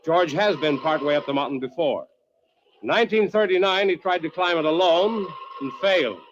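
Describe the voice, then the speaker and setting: Vintage radio drama, actor as blunt male climber, guarded, terse, slightly high voice, lo-fi sound. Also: moderate pace, lightly acted. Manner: terse, evasive. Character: guarded, blunt male climbing companion who knows hidden truths. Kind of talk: old-time radio drama acting. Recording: dull, noisy old radio recording.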